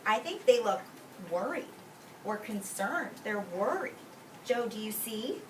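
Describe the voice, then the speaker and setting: Recorded at a distance with faint noise, a woman preschool teacher reads a storybook aloud in a slightly high, bright voice. She is expressive and deliberate, stretching key words and pausing to explain things simply to small children. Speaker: preschool teacher reading a picture book aloud. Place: indoors in a classroom with young children. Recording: roomy distant mic with faint background noise.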